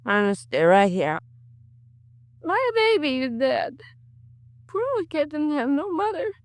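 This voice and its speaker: A woman's voice: high voice, very animated, measured pace, no echo. An actress playing a bitter, drunken, grieving mother in a 1950s radio crime drama, with slangy working-class speech and brooding repetition. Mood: stubborn, pleading.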